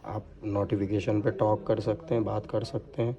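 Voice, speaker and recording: A man walks through a hands-on setup as an instructor. His voice is on the low side and dark, with little melodic movement. He explains each step plainly and unscripted, mixing in English technical words. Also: little pitch movement, normal speed, dull audio. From India. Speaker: product installation demonstrator.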